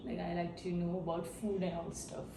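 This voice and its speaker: A woman's voice: on the low side, level tone, moderate pace. A woman interviewing a friend for a class assignment, chatty and using frequent fillers. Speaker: student interviewer. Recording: echoey room, from a distance, noisy.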